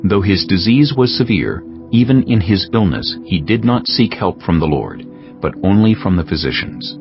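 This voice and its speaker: A man narrating scripture as a scripted audiobook, in a solemn, measured storytelling manner. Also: low-pitched, lively intonation. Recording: dull sound with music bed.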